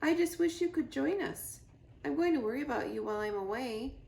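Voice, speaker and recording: A woman's voice: sits high, animated, a little fast, gravelly, warm tone, roomy sound, voice pushed up. A woman voicing a young male gosling, curious, anxious and affectionate, in a storybook reading. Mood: curious, worried.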